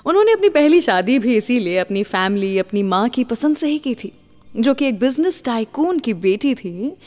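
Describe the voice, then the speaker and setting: A woman's voice: on the high side, sing-song, moderate pace, darkish tone, in a large hall, faint music behind. An Indian woman hosting a radio show, telling stories to listeners in a scripted style and mixing in English phrases. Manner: mixes English words and phrases into the talk.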